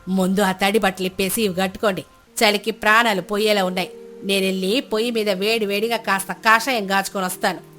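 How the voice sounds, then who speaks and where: A woman plays a kind, practical old village woman in a storybook tale. She speaks in a homely, pleading and reassuring way, forgiving and a little lonely. Music plays softly underneath. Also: mid-range voice, steady tone, fairly quick, natural voice. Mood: caring, insistent. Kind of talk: moral storybook character dialogue.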